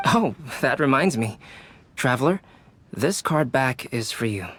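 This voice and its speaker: A man playing a calm, courteous young scholar in an anime-style video game, composed and polite. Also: medium pitch, unhurried, a little hiss or hum.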